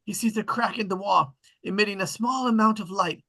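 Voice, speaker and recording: Bright, husky man reading stage directions. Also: sits high, fairly quick. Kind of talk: scripted narration at table read.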